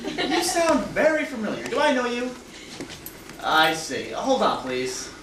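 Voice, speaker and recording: A man's voice: very high-pitched, wildly swooping pitch, unhurried, heavily acted. An actor playing an irate, sarcastic wealthy man in a theatrical stage farce, blustering and exasperated. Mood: testy, suspicious. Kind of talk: theatrical stage comedy acting. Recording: distant echoey stage recording, noisy audience.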